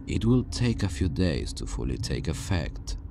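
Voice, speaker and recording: A man playing a calm, self-assured superhuman villain scientist who disdains humanity, speaking in a hushed, grandiose, deliberate way in an ASMR roleplay. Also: rumbling low, creaky, background noise, heavily acted. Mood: calm, clinical. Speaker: ASMR voice actor.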